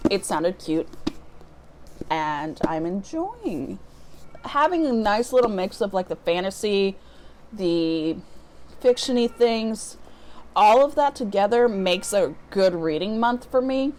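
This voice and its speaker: A woman's voice: mid-range voice, expressive, slow pace, long pauses, darkish tone. A woman from the US Midwest chatting casually as a book vlogger, rambling and breaking off her own sentences as she goes. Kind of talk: casual unboxing vlog chat.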